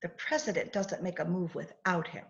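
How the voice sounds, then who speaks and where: A woman's voice: lowish voice, normal speed, creaky. A woman playing a haughty, exasperated fiancee in old-time radio drama style, theatrical and insistent, on dull video-call audio. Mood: confiding, insistent.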